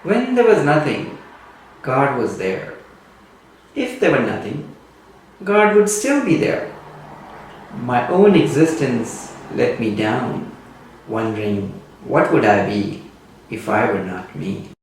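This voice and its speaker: A man whose native language is Urdu gives a slow, measured spoken reflection and often pauses with "uh". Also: mid-range voice, very animated. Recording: very echoey room, distant mic, faint background noise.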